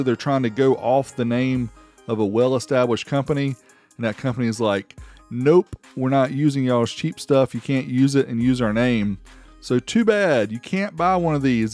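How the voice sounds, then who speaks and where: A man's voice: mid-range voice, darkish tone. A man narrating a casual product review in a conversational, folksy way. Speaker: host of an amplifier review channel.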